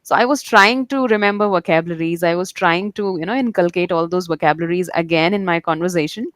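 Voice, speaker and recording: A woman's voice: lowish voice, fast talker, a bit bright, dry recording, clean studio sound. A woman hosting a casual interview who listens attentively, asks questions, and backs the speaker up with frequent "mm-hmm"s. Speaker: interviewer, host of the show.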